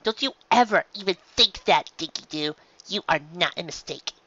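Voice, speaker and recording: A woman's voice: lowish voice, expressive, moderate pace, fairly bright tone, highs cut off, voice pushed up. Woman as a tender, protective mother pony. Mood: fierce, tender. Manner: tender, firm maternal way of talking.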